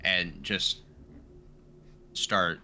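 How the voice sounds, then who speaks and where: A man playing a tabletop roleplaying game, describing his character's actions in halting pieces. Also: deep voice, bright, forceful tone, slight background noise.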